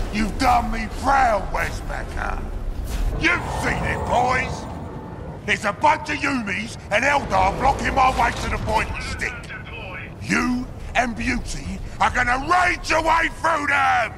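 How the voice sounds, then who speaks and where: An actor playing a brash, greedy ork warlord in a video game, growling and bullying with a Cockney accent. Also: male voice, extremely high pitch, lively intonation, slow pace, echoey room, music in the background, heavily acted. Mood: pleased, rousing. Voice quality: gravelly, growling. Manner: shouted, bullying. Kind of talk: video game character acting.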